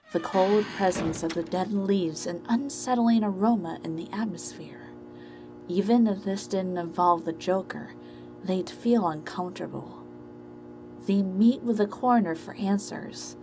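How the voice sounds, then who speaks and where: A woman's voice: mid-range voice, low-quality recording. A woman reading scripted narration for an amateur audio drama story.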